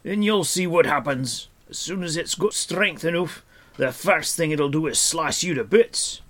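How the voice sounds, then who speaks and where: A man reading a storybook as a gruff, angry dwarf who is snappish, sarcastic and scornful. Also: on the high side, measured pace, fairly bright tone, pitched above the performer's own voice. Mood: exasperated, sarcastic.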